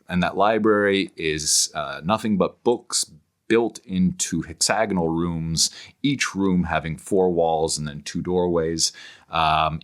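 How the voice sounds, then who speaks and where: A man speaking as an interview guest, explaining at length in a scholarly way and often halting mid-phrase to search for words. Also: sits low. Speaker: podcast guest, author on philosophy and literature.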